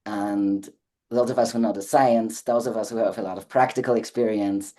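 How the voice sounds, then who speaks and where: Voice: medium pitch, fairly even intonation, moderate pace, mellow. A podcast host from Austria, chatting eagerly and asking long, rambling questions. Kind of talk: casual interview chat.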